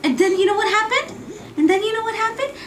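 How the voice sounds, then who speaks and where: A woman's voice: very high voice, expressive, fast, fairly bright tone, recorded from a distance, a little hiss or hum. A woman narrating a bedtime story for small children, repeating phrases for effect.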